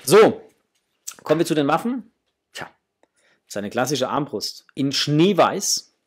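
A middle-aged man with a husky voice, chatting casually and enthusiastically to viewers as he hosts an unboxing, sometimes muttering to himself. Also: a little high, sing-song, takes it slowly. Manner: enthusiastic, exclamatory, muttering to himself while handling things. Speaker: host of a toy unboxing channel. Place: small indoor hobby room.

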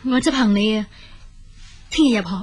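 An actress playing a regretful, grateful younger sister in a Cantonese radio drama, with a Hong Kong accent. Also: female voice, medium pitch, fairly quick, slightly rough, soft background music, close to an everyday voice. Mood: matter-of-fact.

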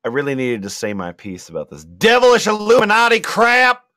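Guy reading stuff out for laughs, kinda halting and amused, voice jumps around a lot. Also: sits high, huge pitch swings, pushed and bright, dry recording, clean studio sound. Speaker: reader and host on a comedy podcast. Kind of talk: comedic read-aloud of forum posts with casual asides.

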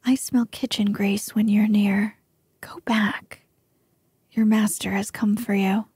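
A proud young damsel sneers at the knight beside her in a soft, husky whisper, voiced by a woman reading aloud. The delivery is unhurried and the sound close and dry. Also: medium pitch, level tone, no echo. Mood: scornful. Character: scornful haughty young damsel on horseback.

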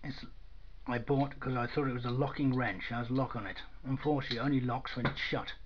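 A man's voice: mid-range voice, fairly even intonation, fast talker. Guy demoing stuff at a workbench, dry and matter of fact, dull camera audio with noise. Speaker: product demonstrator. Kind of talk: informal hands-on tool demonstration. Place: indoors at a workbench. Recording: dull lo-fi sound with clear noise.

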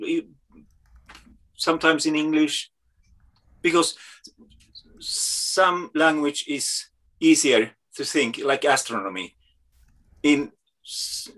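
A man's voice: a little high, a bit bright, low-quality recording. A man from Finland, a guest chatting on a video call, searching for his English words. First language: Finnish. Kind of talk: casual video call chat.

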